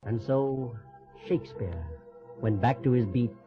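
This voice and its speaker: Man as solemn narrator, medium pitch, measured lyrical storytelling, old-time radio drama, dull vintage recording, music behind. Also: lightly acted.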